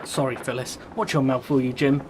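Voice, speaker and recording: An actor plays a sentimental Cornish fisherman in a radio drama. He is loyal and sincere, with a mid-pitched, plain-spoken delivery. A little noise lies behind the voice. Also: little pitch movement, a little fast, close to an everyday voice. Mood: earnest. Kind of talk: radio play acting.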